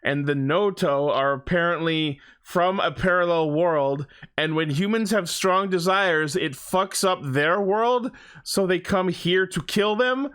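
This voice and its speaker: A man's voice: high-pitched, measured pace, few pauses, a bit bright, clean tone. A man co-hosting a podcast, giving a casual fan recap in an opinionated, sweary way. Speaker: podcast host.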